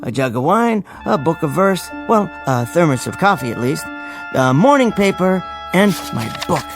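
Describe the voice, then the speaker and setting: An actor playing a meek, bookish bank clerk who talks to himself in an old-time radio drama style. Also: male voice, on the high side, very animated. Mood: contented, cosy. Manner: talks to himself, halting repetitions when shaken. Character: meek bookish male bank clerk, dazed lone survivor. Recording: radio drama with music underneath.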